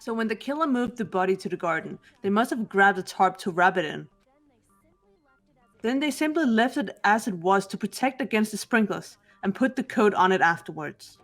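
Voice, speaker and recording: A woman voicing a cool, calm, sharp-witted young woman in a fan dub of a video game, speaking in a measured way. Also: medium pitch, soft background music.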